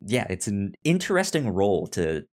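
A man's voice: on the low side, big pitch swings, normal speed, darkish tone. A man hosting a podcast in casual conversation, often stammering and repeating syllables and words. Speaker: podcast host.

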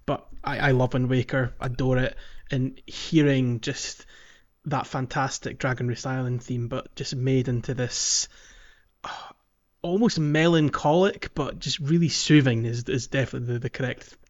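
A man's voice: mid-range voice, steady tone, moderate pace. Hesitant man on a dull lo-fi mic. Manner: rambling, hesitant, many fillers and restarts. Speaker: podcast co-host. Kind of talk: casual gaming podcast chat.

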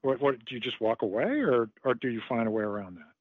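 A man's voice: medium pitch, expressive, fast talker, dry recording. A man interviewing a colleague, asking friendly, lightly joking questions in conversational style. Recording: tinny phone-like line.

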